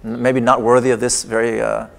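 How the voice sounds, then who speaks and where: A man's voice: mid-range voice, level tone, fairly quick, gravelly. A man whose first language is Persian gives a wry, digressive lecture performance in a large museum exhibition hall. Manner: wry, digressive, with playful humor. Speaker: artist giving a lecture performance. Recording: long echoing hall reverb.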